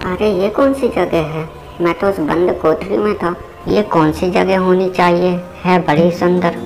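A curious young cartoon boy, acted by a woman, pitched quite low. He is stubborn and talks to himself in exclamations as he explores. Music plays faintly behind him. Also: a little slow. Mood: delighted wonder. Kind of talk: cartoon story voice acting.

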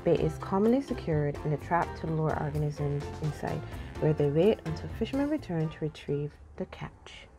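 A woman's voice: deep voice, expressive, normal speed. A woman reading scripted, informational narration. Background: music.

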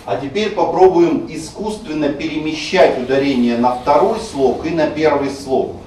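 A man's voice: a little high, moderate pace, mellow. A male teacher explains pronunciation rules and sounds out example words. He is picked up by a distant mic, so the voice comes across roomy and heavily echoed. A faint hiss sits underneath. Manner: explanatory, demonstrating pronunciation aloud. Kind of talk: screencast lecture on Russian stress.

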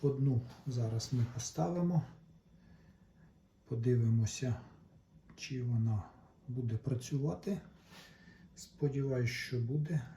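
A man's voice: mid-range voice, a little slow, pauses often, mellow, a little hiss or hum. A man giving unscripted, step-by-step repair instructions in short, methodical phrases. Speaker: repair tutorial host.

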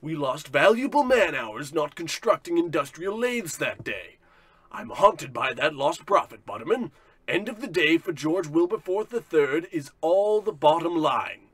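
Pompous male business magnate for a radio comedy: high, husky, wildly swooping, self-important bluster. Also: sing-song, a little slow, no echo, full character voice. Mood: boastful, scornful. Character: pompous boastful male industrial tycoon, bullying boss. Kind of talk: parody of old radio drama acting.

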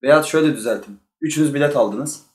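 A man's voice: a little high, fast talker. A man in his thirties hosting a casual talk show, asking teasing questions. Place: small studio room at a table. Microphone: shared table microphone. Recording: echoey room.

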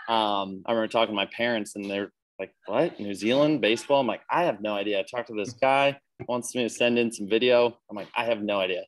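Laid-back young American man, low voice. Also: steady tone, a little fast, hardly any pauses, rough recording. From United States. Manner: easygoing, chatty, many fillers. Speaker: baseball player guest. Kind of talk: casual interview on a video call.

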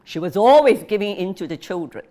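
A woman voicing a bitter, furious old father who shouts and scolds his family. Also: mid-range voice, expressive, fairly quick, voice pushed up, lightly acted. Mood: scolding. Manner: shouting, scolding, jabbing repetition.

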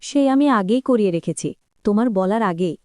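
A woman's voice: medium pitch, lively intonation, a little fast, mellow, no room sound, clean studio sound, close to an everyday voice. A woman voicing a caring, hospitable village homemaker and mother in a cartoon. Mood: fond, brisk. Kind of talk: Bengali moral cartoon voice acting.

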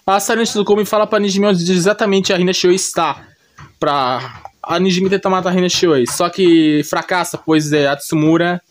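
A Brazilian man with a slightly high voice recaps an anime story in a casual, unscripted way. He rambles along in slangy speech and often corrects himself as he goes. It sounds like an informal storyteller talking off the top of his head. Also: no room sound.

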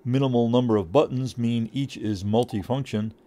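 A middle-aged man reading a scripted product review in a measured, informative way. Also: medium pitch, noisy background.

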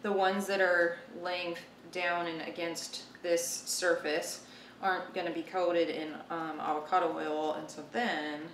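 A woman's voice: lowish voice, level tone, measured pace, a little hiss or hum. A woman in her thirties hosting a casual cooking demonstration, talking informally and thinking aloud as she works. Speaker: cooking show host. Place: home kitchen, indoors. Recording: roomy, distant mic, loud kitchen clatter. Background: chopping, clicks, pans clattering.

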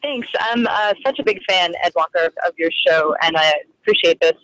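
A man's voice: high voice, a little fast, a bit bright, no room sound, rough recording. A man calling into a radio show, asking an eager, enthusiastic question. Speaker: caller.

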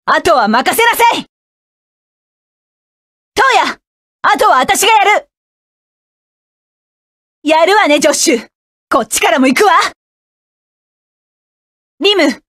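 A proud, sharp-tongued mecha pilot. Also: female voice, very high-pitched, no echo, studio quality, heavily acted. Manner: curt, commanding barks. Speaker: game character. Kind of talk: video game battle voice lines.

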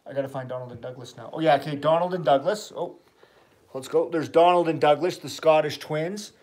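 An enthusiastic middle-aged man hosts a casual toy livestream, chatting and rambling about his train collection. His voice sits a little high for a man. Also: a little fast, darkish tone. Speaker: toy channel host, dad.

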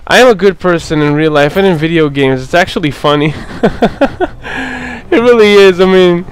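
A man hosting a let's play, chatting casually over gameplay, hoarse from a sore throat, laughing often. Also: fairly high pitch, moderate pace.

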